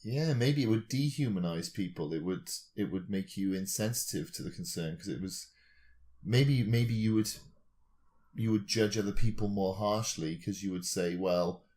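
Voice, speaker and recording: A man with a low-pitched voice in informal podcast talk. He muses speculatively, rambling and restarting as he reasons, with a dry joking streak. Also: normal speed, darkish tone. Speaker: podcast co-host. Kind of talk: casual chat, speculative musing.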